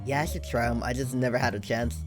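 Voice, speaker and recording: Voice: medium pitch, little pitch movement, fast. A stream host in casual chat, asking questions in an easygoing, curious way. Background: background music.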